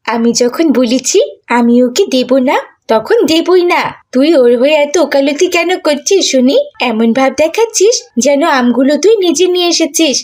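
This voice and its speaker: A woman's voice: high voice, animated, normal speed, darkish tone. A woman voicing a spiteful, petulant cartoon schoolgirl with a bossy, sneering way of talking.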